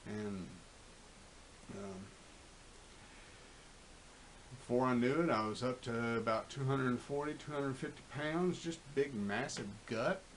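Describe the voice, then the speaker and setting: A man's voice: medium pitch, unhurried, slight background noise, poor audio. A man in his fifties talking candidly to camera in a rambling, profane way, full of fillers and restarts. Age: 50s. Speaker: fitness vlogger. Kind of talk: casual monologue to camera.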